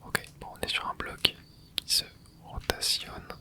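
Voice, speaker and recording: An ASMR gaming host whispering a running commentary while playing, muttering quiet reactions into a close microphone. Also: measured pace.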